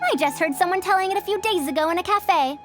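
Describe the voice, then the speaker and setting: A woman's voice: extremely high pitch, quick pace, over loud music. A woman playing a prim, youthful-sounding old female scholar in an anime-style game, speaking in a formal, pedantic way. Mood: earnest. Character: bookish, prim female scholar over a hundred years old, youthful and opinionated.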